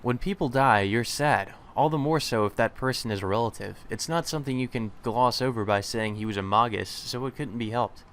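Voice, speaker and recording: A man's voice: medium pitch, brisk, few pauses, fairly bright tone, a little hiss or hum. A man let's player reading a visual novel's translated lines aloud, now and then stumbling and rereading. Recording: music behind the voice. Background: visual novel game music.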